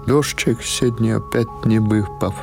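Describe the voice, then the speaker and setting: A man voicing a frail, homely old village granny with a Ukrainian-tinged southern Russian dialect, speaking slowly and plainly in an audiobook story. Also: fairly low pitch, vocal fry, a little mellow, soft background music, voice pushed up. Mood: matter-of-fact. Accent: Ukrainian-influenced southern Russian village dialect. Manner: slow, measured, plain everyday talk.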